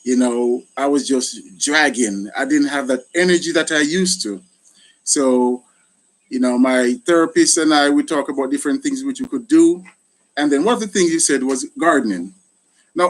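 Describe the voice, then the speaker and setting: A middle-aged man with a Jamaican accent hosting a casual live chat, thanking people often. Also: mid-range voice. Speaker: gardening channel host, retired soldier.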